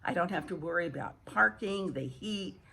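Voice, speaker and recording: A woman vlogger with a hoarse early-morning voice, chatting casually and haltingly to viewers as she repeats and restarts phrases. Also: fairly low pitch, expressive, sharp tone, a little hiss or hum. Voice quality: husky, hoarse. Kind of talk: casual rambling chat to viewers. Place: outdoor home patio.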